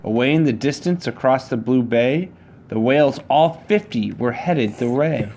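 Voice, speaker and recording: A man reading a children's picture book aloud to his child, with a measured, rhyming storybook delivery. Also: medium pitch, expressive, over music.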